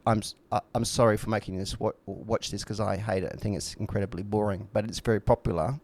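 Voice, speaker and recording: A man's voice: on the low side, fairly even intonation, quick pace, gravelly, darkish tone. A man co-hosting a podcast in casual, rambling conversation, blunt and opinionated, with frequent swearing. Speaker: podcast co-host.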